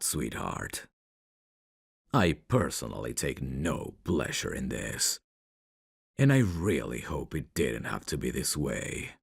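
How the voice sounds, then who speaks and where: Guy acting as a menacing mafia boss, super low gravelly voice, teasing and taunting, asmr roleplay. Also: dry recording, clean studio sound, heavily acted. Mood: teasing, flirtatious. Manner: slow, deliberate, taunting. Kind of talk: ASMR roleplay audio drama.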